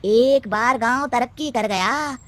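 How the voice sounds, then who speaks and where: A woman's voice: on the high side, slow pace, fairly bright tone. A woman voicing a sly, cunning male adviser in a cartoon story, with an oily, drawling delivery. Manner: oily, drawling, chuckling slyly.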